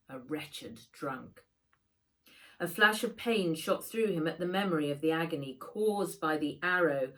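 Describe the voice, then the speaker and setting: A woman reading a children's adventure novel aloud, in measured, dramatic storytelling. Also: deep voice. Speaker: author reading own book aloud. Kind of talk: scripted audiobook narration for children.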